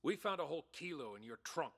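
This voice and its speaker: A man's voice: a little high, lively intonation, fast talker, fairly bright tone. A man playing a stern, sardonic police detective pressing a suspect in an audio drama. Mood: accusing.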